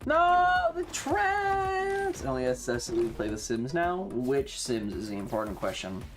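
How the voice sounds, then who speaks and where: A woman's voice: extremely low pitch, very animated, a little slow, soft background music. A woman live-streaming a video game, chatting casually with slangy, sweary exclamations. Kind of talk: casual gaming stream commentary.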